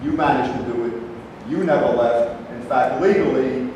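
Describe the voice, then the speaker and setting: A man's voice: mid-range voice, unhurried, a little mellow, dull audio, lightly acted. Guy acting a dismissive sarcastic arguer on stage, noisy recording, way off the mic. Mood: combative. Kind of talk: staged reading of scripted dialogue. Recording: echoey hall, distant mic, noisy.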